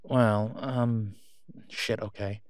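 An awkward, guarded man in an audio drama, played by a male actor, with a lowish voice. He talks slowly and haltingly in a low-key, natural way, recorded close and dry. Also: fairly even intonation, no echo. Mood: careful, halting. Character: awkward, guarded man discreetly seeking gear to protect someone. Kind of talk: naturalistic audio roleplay acting.

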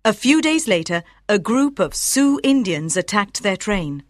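Clear female audiobook narrator, dry sound. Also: medium pitch, sing-song, unhurried, dry recording. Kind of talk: scripted story narration for language learners.

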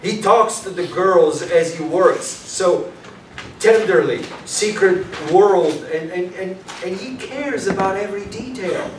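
A man's voice: high-pitched, a little slow. A man acting an earnest, anxious young police detective in a staged play reading. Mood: pleading. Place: small hall, staged reading before an audience. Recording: distant, echoey room with background hiss.

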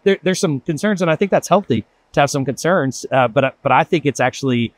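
A man's voice: on the high side, expressive, fairly quick, dry recording. A panelist talks in a hesitant way, often doubling up his words as he feels his way forward. His voice is close-miked and dry. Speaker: roundtable guest. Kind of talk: panel discussion.

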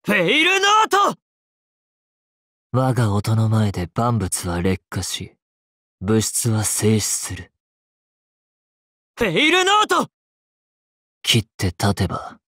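男性声優がゲームで演じる、悲哀に満ちて丁寧な物言いの、冷徹で詩的な騎士の弓使い。. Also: male voice, on the low side, a little mellow, no echo, clean studio sound. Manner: slow, formal, poetic delivery. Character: sorrowful, courtly male knight archer, poetic and coolly merciless. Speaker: voice actor in a mobile game. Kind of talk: theatrical game battle lines. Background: game music.

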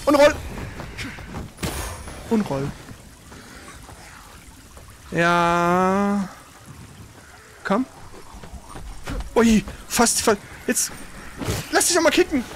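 An excitable gamer commentates casually on their own play, with pitch that jumps around a lot. The language is colloquial, with exclamations and mild swearing. There is clear noise behind the voice. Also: very slow, long pauses.

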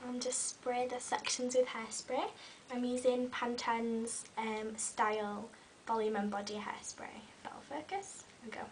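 A young woman with a cold talks casually as she curls her hair, her voice a little croaky and fairly high. She sits back from a webcam in a small bedroom, so she sounds a touch distant, with a faint hiss underneath. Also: little pitch movement, measured pace, few pauses. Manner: chatty, talks while styling hair, many ums. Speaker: beauty vlogger. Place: small bedroom with fairy lights.

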